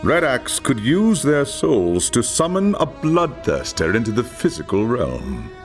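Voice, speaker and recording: A man playing a wise, grave elven lore master in a video game, measured and portentous. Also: mid-range voice, huge pitch swings, soft background music. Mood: grave warning. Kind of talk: dramatic video game acting.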